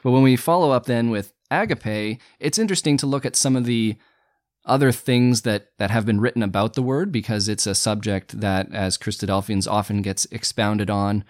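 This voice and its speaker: A man on a Bible study podcast, explaining Greek word roots in a measured, teacherly way. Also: lowish voice, no room sound, clean studio sound. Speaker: podcast co-host.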